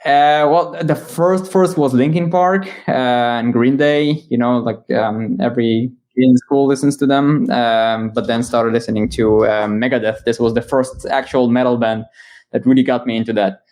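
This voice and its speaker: A man's voice: mid-range voice, steady tone, normal speed, darkish tone. A man from Israel, a Hebrew speaker, talking easily as a musician guest on a podcast. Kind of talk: podcast interview.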